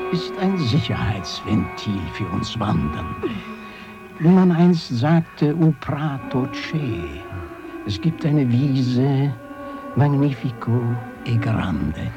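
An actor in a German radio play voicing a genial, rhapsodic wanderer, declamatory and drawn out, fading into breathy murmurs. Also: male voice, medium pitch, big pitch swings, slow, rough recording. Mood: rapturous. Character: genial, rhapsodic wanderer who loves to fantasise. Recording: loud music under the voice.

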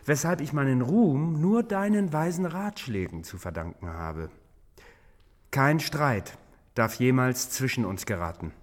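An actor playing an insecure, easily led king in a fantasy radio drama, husky, affable and self-doubting. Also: mid-range voice, animated, measured pace. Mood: affable envy.

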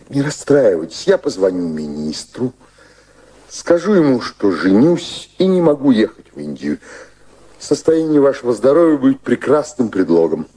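An older, high-ranking gentleman in a radio drama, devoted and proper. His low voice is husky and swings widely in pitch. He speaks in a courtly, halting way, earnestly repeating his phrases. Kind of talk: radio play acting.

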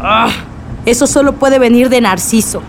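A woman's voice: a little high, normal speed, slight background noise, lightly acted. A woman playing an anxious, determined village mother in a radio drama, with a Mexican accent and an urgent, questioning way of talking. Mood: indignant.

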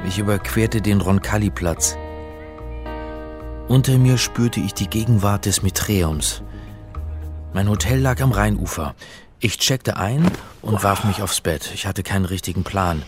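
An actor playing an earnest, uneasy middle-aged academic in a radio drama, speaking in a measured way as narrator and in dialogue. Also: male voice, fairly low pitch, over music, lightly acted. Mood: brooding, tense. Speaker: actor and first-person narrator.

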